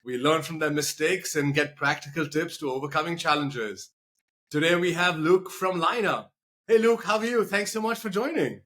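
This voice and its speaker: Higher-voiced man hosting a podcast interview. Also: moderate pace, sharp tone. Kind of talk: conversational startup podcast interview.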